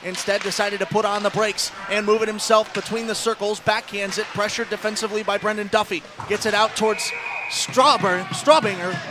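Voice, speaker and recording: A man doing live radio play-by-play commentary of an ice hockey game, describing the action in rapid detail and lifting his voice with the action. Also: sits high, fairly even intonation, noisy background. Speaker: play-by-play sports announcer.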